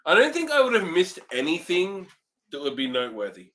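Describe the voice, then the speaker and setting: Male co-host for review banter: slightly high, lively pitch swings, blunt and dismissive. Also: fairly quick. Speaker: co-host of a film review show.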